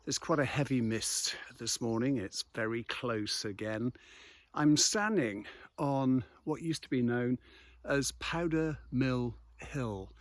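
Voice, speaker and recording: A man's voice: mid-range voice, slow, dry recording. A man narrating an unscripted local history walk outdoors in a conversational, explanatory storytelling way. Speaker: local history walking presenter. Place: outdoors on a misty hillside road.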